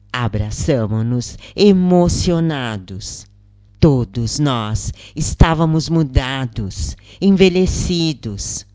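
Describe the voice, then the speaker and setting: Low-voiced woman narrating slowly in Brazilian accent. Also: dark tone, no echo. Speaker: narrator reading a first-person spiritual account. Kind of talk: scripted narration, audiobook storytelling.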